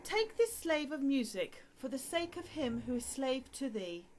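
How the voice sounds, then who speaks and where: A woman's voice: high-pitched, big pitch swings, measured pace, a little mellow. A woman playing a bashful, reluctant young wife in a radio play. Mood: flustered, reluctant.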